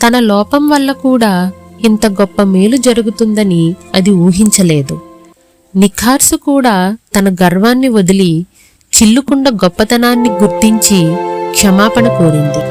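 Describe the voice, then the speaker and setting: A woman narrates a scripted fable at a slow, measured pace in a medium-pitched voice. Soft music plays under her storytelling.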